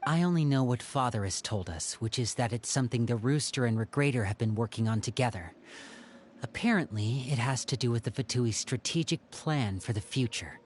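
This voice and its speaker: A man playing a composed young brother and protective leader in a video game, speaking in a measured, serious way. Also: medium pitch, expressive, a little hiss or hum, natural voice. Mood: explaining.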